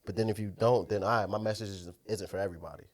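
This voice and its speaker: A man's voice: fairly low pitch, steady tone, racing. A man chatting casually as a podcast co-host, with a congested, nasal voice and slangy talk where he repeats himself for emphasis. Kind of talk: casual banter.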